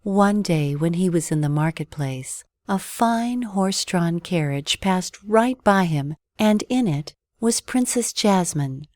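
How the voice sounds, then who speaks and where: A woman reading a children's bedtime story as an expressive storyteller. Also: fairly low pitch, takes it slowly, hardly any pauses, dry recording, studio quality.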